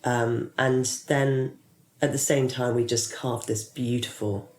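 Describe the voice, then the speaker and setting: A woman's voice: very deep voice, steady tone, slow, a little mellow. A woman in her forties, a PR consultant and interview guest, chatting casually and rambling with many restarts. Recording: echoey room.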